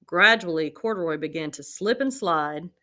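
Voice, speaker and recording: A woman's voice: on the low side, expressive, normal speed, pushed and bright. Woman reading a children's storybook. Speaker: storybook reader. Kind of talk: children's read-aloud narration.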